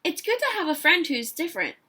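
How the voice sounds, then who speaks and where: Woman voicing a bright, friendly storybook bear. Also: very high-pitched, big pitch swings, a little fast, heavily acted. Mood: content. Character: friendly, thoughtful bear in a picture book.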